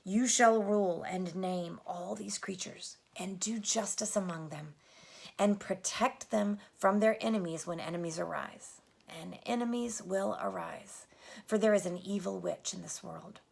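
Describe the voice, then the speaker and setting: A woman voicing a kindly, solemn great lion king, speaking in a grave, measured, hushed way. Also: mid-range voice, creaky, breathy edge, exaggerated voice.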